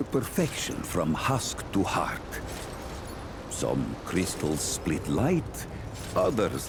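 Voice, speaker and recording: A man's voice: deep voice, creaky, noisy background, exaggerated voice. An actor playing a solemn, wise male trader from a jungle people in a video game, speaking in formal, archaic phrases. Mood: reverent, explaining. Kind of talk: video game character monologue.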